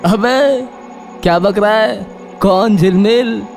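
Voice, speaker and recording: A man playing a bossy, mocking gang leader in an animated horror story. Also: sits high, slow pace, vocal fry, faint music behind, exaggerated voice. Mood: scornful.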